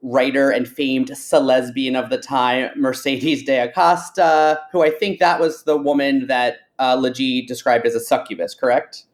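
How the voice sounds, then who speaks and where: A man's voice: mid-range voice, steady tone, moderate pace, hardly any pauses. A man with a mid-range voice hosts a casual interview. He talks informally and loosely, qualifying his points as he sets up questions for his guest. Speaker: podcast host.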